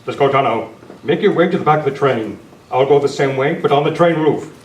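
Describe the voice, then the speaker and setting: A man playing a calm, commanding, courteous masked western hero in an amateur radio play. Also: mid-range voice, fairly quick, a little hiss or hum, natural voice. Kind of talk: amateur old-time radio western re-enactment. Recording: echoey meeting room, distant mic.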